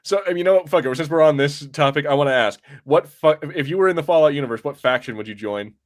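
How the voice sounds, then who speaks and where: A man's voice: on the high side, fast. A man co-hosting a casual gaming podcast, rambling with lots of restarts and fillers and laughing often. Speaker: podcast co-host.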